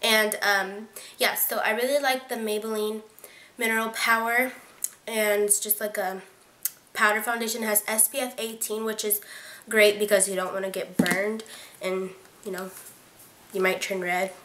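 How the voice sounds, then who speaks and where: A woman's voice: medium pitch, flat delivery, normal speed, a bit bright. Chatty young woman in an echoey bedroom. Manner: chatty, filler-heavy, lots of "like" and "um". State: voice sounds odd to her today. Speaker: beauty vlogger. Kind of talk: casual product review. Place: small bedroom, indoors. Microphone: webcam at close range. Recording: roomy bedroom echo.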